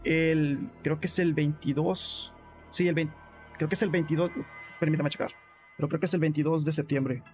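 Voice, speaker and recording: A man's voice: on the high side, fairly even intonation, normal speed, a little mellow, faint music behind. A man streaming live, answering chat in a casual way, calling viewers bro and often restarting his sentences. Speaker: gaming streamer.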